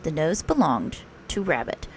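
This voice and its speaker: A woman reading a children's picture book aloud as a storytelling teacher, expressive and unhurried. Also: sits low, a little hiss or hum.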